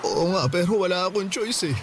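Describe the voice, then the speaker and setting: An actor playing a gentle, self-sacrificing young student boyfriend, earnest and sorrowful, in a Tagalog radio drama. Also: male voice, high-pitched, animated, a little fast, a little hiss or hum. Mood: sad, resigned. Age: young adult. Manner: soft-hearted, earnest, sorrowful.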